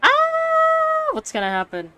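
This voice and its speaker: A woman streamer giving casual, slangy, expressive reactions to a game, exclaiming often, with game music behind her. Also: extremely high pitch, slow, heavy background noise.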